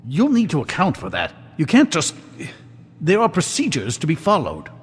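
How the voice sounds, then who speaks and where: Husky, reverberant, fussy bureaucrat. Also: male voice, on the high side, huge pitch swings, normal speed, heavily acted. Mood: flustered. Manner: prim, indignant, flustered. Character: fussy, officious, flustered male bureaucrat. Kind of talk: video game voice acting.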